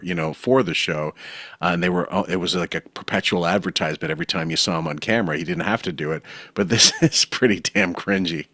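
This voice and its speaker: A man's voice: low voice, fairly bright tone, dry recording, clean studio sound. A man hosting a podcast, talking casually and rambling, with many fillers and laughs. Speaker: podcast host.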